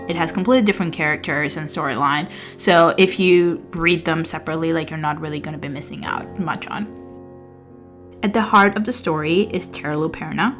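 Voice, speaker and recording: Low-ish voiced woman, albanian native speaker, chatting about books she read, soft music behind. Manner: conversational, with fillers and restarts, partly read-out summaries. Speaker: book vlogger. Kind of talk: casual book review over journaling.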